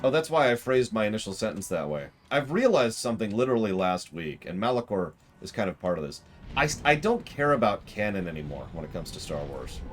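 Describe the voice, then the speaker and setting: Low-voiced man streaming, lively and discursive. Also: a little fast, slight background noise. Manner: discursive, explanatory, thinking aloud. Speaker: game streamer. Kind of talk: casual live stream commentary. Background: game music.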